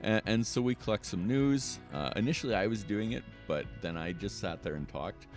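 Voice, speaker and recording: A man's voice: fairly low pitch, normal speed, soft background music. A middle-aged man chatting casually as an interview guest about a hobby. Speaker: guest, board game radio show host.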